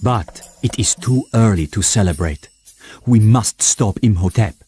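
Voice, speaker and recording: Wise old guardian, dark soft tone, solemn, measured, formal, cartoon game cutscene, faint music. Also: male voice, lowish voice, expressive. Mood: grave, resolute. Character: wise old male mentor, grave Medjay warrior.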